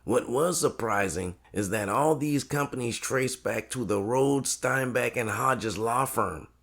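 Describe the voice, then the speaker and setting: A man acts as a loyal cousin who handles surveillance. His voice is medium in pitch, measured and serious, with casual street phrasing in an audio drama. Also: vocal fry, fairly bright tone. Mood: grave. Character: loyal, tech-savvy cousin working surveillance.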